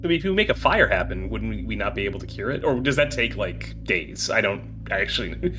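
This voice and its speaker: A man's voice: on the low side, big pitch swings, brisk, over music. A man chatting casually as a player in a tabletop game, describing what his character does.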